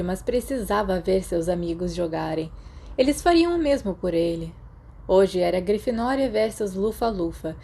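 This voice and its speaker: A Brazilian woman narrates fiction from a script. Her voice is soft-edged and mellow, sitting at an ordinary pitch for a woman. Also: creaky. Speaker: podfic narrator.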